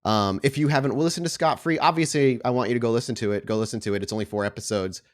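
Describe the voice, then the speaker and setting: A man's voice: mid-range voice, racing. Casual male podcast host and storyteller. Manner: informal, chatty, swears casually. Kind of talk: casual podcast intro, then improvised tabletop game narration.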